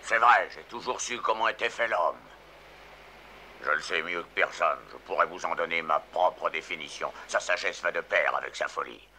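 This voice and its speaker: Solemn ape sage, film dub, deep and bright-edged, lecturing. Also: male voice, normal speed, a little hiss or hum. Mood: grave. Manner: grave, lecturing. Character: solemn male ape scholar warning a human.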